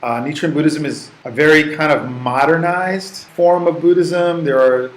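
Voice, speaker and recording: A man in his forties, from the United States, answering interview questions in a relaxed, reflective way, with fillers and restarts. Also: a little high, moderate pace, echoey room, poor audio. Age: 40s. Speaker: English teaching assistant.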